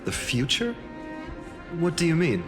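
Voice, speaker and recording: A man's voice: fairly high pitch, huge pitch swings, a little slow. A man playing a young heroic warrior, earnest, resolute and burdened, in a dramatic fantasy game. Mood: puzzled. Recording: long hall reverb under music.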